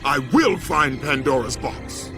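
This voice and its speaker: An actor playing a wrathful, tormented young Spartan warrior captain in a video game, declaiming with forceful drama. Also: male voice, a little high, animated, unhurried. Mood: defiant, vengeful. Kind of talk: intense dramatic game acting. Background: dramatic music.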